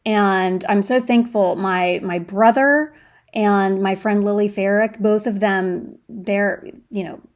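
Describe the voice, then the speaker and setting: A woman's voice: mid-range voice, fairly even intonation, slow pace. A woman hosting a solo podcast, chatting conversationally through personal anecdotes and practical advice, leaning on key words.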